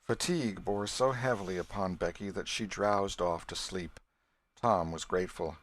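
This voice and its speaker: A man narrating a classic novel as an audiobook in a measured storytelling manner. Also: low voice. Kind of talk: scripted literary narration.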